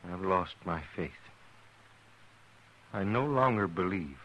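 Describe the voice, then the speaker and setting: An actor playing a broken older preacher who has lost his faith, speaking quietly and wearily in a 1950s radio drama. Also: low voice, expressive, takes it slowly, exaggerated voice. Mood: despairing. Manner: quiet, weary, resigned. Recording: dull radio recording with hiss.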